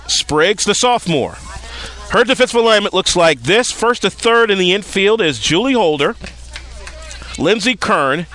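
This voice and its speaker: A man calling live softball play-by-play as a radio-style sports announcer, outdoors at a ballfield. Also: sits high.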